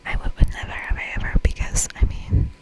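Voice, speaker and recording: A woman whispering softly as an ASMR host, reading a game aloud expressively. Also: brisk. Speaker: ASMR host playing a visual novel game.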